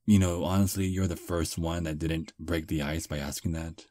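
A man playing a charming male vampire on a first date, soft, relaxed and self-deprecating, close to the mic in ASMR roleplay. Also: low-pitched, moderate pace, no room sound, natural voice. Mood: calm.

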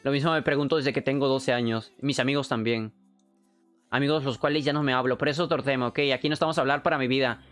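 A young man from Peru with a Mexican Spanish accent, chatting casually on a live stream, joking, excitable and full of slang. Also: mid-range voice, fast talker, soft background music. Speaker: streamer and animator.

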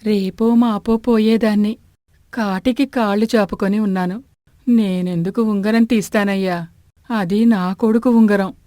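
A woman plays An elderly village mother from an animated moral tale. She is aggrieved and plaintive, protesting in a mellow, dark tone, recorded close and dry. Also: mid-range voice, a little slow, dry recording, natural voice. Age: older. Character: aggrieved old village mother accused of theft. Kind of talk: animated moral story dialogue.

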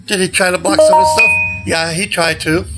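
An older man in his sixties talking casually and haltingly to a handheld phone outdoors, his voice recovering from a recent illness, often trailing off. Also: high voice, fairly even intonation, loud music behind the voice, rough recording. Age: older, around 60s. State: recovering from nearly losing his voice. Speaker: vlogger. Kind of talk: rambling casual selfie vlog. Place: outdoors beside a brick building. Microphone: handheld phone at arm's length.

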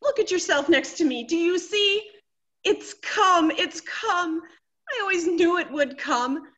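A woman's voice: extremely high pitch, expressive, unhurried, sharp tone, exaggerated voice. A woman playing a vain, anxious middle-aged wife in a stage comedy, melodramatic and fluttery. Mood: distraught, wailing. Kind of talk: theatrical stage comedy acting.

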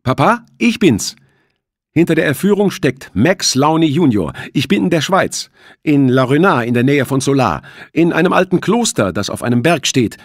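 A defiant teenage boy in a thriller audiobook, voiced by a man. The voice is raised well up and swings widely in pitch, urgent and commanding, with a nervy edge. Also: sing-song, normal speed, no echo, clean studio sound.

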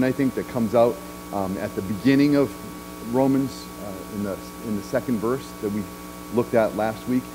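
A middle-aged male pastor preaches in an easy, conversational way, tossing in "right?" and "um" as he walks the chancel. His voice sits at an ordinary middle pitch. Soft music plays clearly underneath him in a big church. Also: low-quality recording. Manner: walks the chancel gesturing while speaking. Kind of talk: sermon. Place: large church sanctuary.

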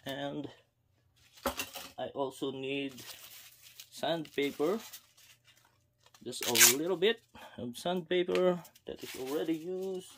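A man casually narrating his own hands-on fishing rod repair to viewers, talking to himself between steps at an unhurried pace. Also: a little high, background noise. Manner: unhurried, self-talk with pauses, addresses viewers as guys. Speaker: fishing video host. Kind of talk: casual how-to narration while working. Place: indoors at a wooden table.

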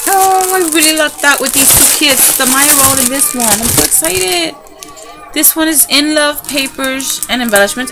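Gushing woman vlogger showing craft supplies. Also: a little high. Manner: gushing, excitable, chatty. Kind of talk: casual show-and-tell unboxing. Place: home room by a window. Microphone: camera in front of her, hands in frame. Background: TV and children's noise.

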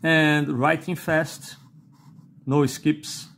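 A middle-aged man with a Brazilian Portuguese accent talks through a pen review at his desk. His English is that of a non-native speaker, unhurried and halting, full of "uh"s and a questioning "okay?". A faint hiss of noise sits under the voice. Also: on the high side, little pitch movement, fairly bright tone. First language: not English. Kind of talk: unscripted product review while writing. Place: indoors at a desk.

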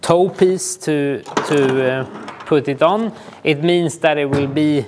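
A man, informally explaining outdoor gear to camera in a hesitant, halting way. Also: a little high, background noise. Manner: hesitant, halting delivery with frequent pauses. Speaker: ski touring guide and instructor. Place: small indoor gear shop room.

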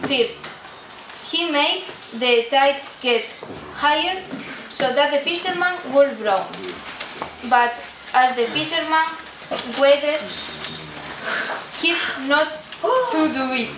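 Teenage female narrator, Spanish accent, high voice, halting storytelling, noisy distant recording. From Spain. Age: teens. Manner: halting reading in non-native English. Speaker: student narrator of a puppet show. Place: indoor room at a day care centre. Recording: echoey room from afar, noisy.

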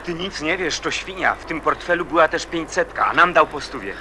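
An actor playing a shrewd, blunt teenage pal in a radio drama, talking in matter-of-fact street slang. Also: male voice, a little high, lively intonation, fairly quick, bright, forceful tone, a little hiss or hum. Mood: blunt, knowing.

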